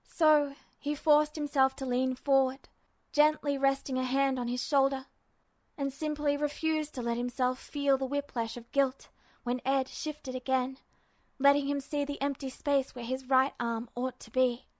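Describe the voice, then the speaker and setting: A woman reading fiction aloud as an expressive, scripted audiobook narration. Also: high-pitched, a little slow, no echo. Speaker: fan fiction audiobook reader.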